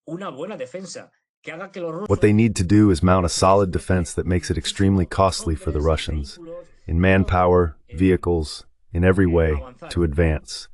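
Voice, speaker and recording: A man with a low-pitched voice delivers dubbed political commentary. He works as the translated voice of a military analyst, setting out points in spoken commentary form. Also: steady tone, normal speed, a little mellow.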